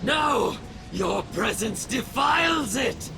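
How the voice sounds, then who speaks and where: A gravelly, shouting cult zealot. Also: male voice, very high voice, lively intonation, slow pace, soft background music, exaggerated voice. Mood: fanatical. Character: fanatical male cult leader, zealous. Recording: game cutscene over loud score.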